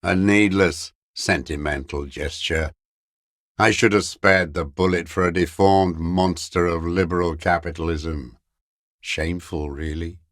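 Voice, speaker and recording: Game role: aged revolutionary holdout, deep-voiced, very slow delivery, scornful, weary and unrepentant. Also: male voice, steady tone, a bit bright, dry recording, studio quality. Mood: bitter. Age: older. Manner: contemptuous, ideological, slow and weary. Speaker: video game character actor. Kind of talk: dramatic game voice acting, bitter monologue.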